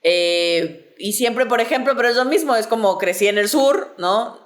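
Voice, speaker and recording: A woman's voice: fairly high pitch, lively intonation, moderate pace. A woman in her early forties with a Mexico City accent, chatting casually and reflectively about herself. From southern Mexico and Mexico City. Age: early 40s. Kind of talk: casual personal chat.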